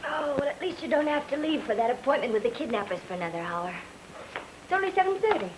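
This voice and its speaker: A woman's voice: sits high, expressive, quick pace. A woman acting a detective's spirited young sweetheart in a film noir radio drama. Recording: noisy old-time radio recording.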